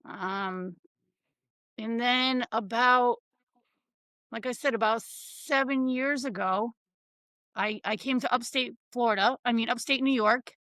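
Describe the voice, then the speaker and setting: A woman in her thirties from Connecticut talks bluntly and frankly, with casual slang. Her voice is bright and sits a little high. The recording is dry and close. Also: takes it slowly, long pauses, no echo. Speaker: guest. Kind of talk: casual interview, telling her life story.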